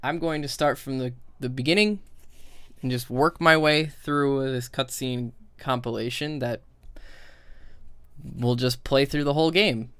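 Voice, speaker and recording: A man's voice: medium pitch, fairly even intonation, a little slow, fairly bright tone, clean tone, no room sound. A man giving casual, opinionated stream commentary in a blunt, sardonic, sweary way. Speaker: streamer and critic.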